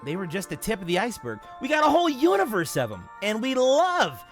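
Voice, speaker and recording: A man's voice: high-pitched, wildly swooping pitch, brisk. A man playing a young, quippy and nervous male superhero in a comic reading, flippant and jokey. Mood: upbeat, joking. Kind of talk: comic book voice acting. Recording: background music under the voice.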